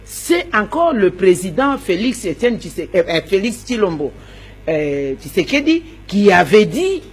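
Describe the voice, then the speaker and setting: Husky-voiced politician, a woman, forceful and repetitive, stumbles on words. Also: medium pitch, very animated, normal speed. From Democratic Republic of the Congo. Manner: emphatic, repeats words, stammers while searching. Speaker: opposition politician. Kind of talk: interview answers.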